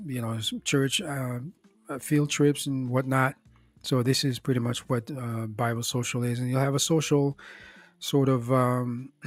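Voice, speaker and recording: A slightly hoarse man casually running through his startup projects, speaking in a halting way with many fillers and restarts. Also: mid-range voice, dry recording. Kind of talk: casual spoken rundown of projects.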